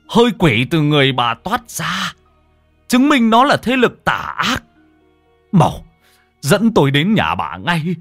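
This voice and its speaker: A man voicing a stern old Buddhist monk who speaks in a solemn, deliberate way in a spoken ghost story. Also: low voice, husky, no room sound, studio quality, heavily acted.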